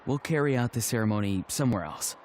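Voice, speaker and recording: A man's voice: medium pitch, lively intonation, fast, darkish tone, a little hiss or hum, natural voice. Earnest, reserved young man , voiced by an actor. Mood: earnest, explanatory. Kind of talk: video game voice acting.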